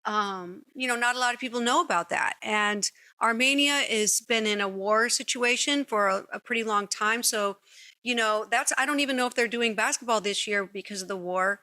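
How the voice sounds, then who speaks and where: A middle-aged woman hosting a casual interview podcast from her living room, talking enthusiastically and rambling a little, into a mic on a boom arm. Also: moderate pace, pushed and bright. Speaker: podcast host. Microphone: studio mic on boom arm.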